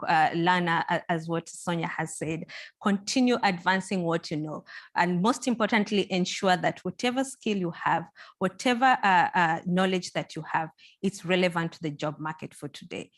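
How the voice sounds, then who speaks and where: Low-voiced woman moderating a panel, native Swahili speaker. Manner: earnest, encouraging, frequent repetitions and fillers. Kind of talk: closing remarks at a hybrid panel event.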